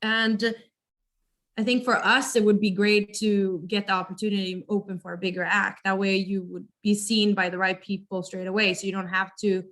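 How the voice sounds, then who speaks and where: A young woman with a naturally raspy voice, a rock singer chatting casually and hesitantly as an interview guest. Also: mid-range voice, little pitch movement, moderate pace, a bit bright. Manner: casual, hesitant, laughs often. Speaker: band vocalist, interview guest.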